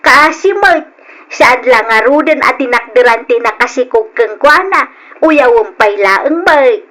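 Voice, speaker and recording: An actress playing a kindly older village woman who gently chides, with an Ilocano accent, in an old radio drama. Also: sits high, animated, unhurried, full character voice. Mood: reproachful.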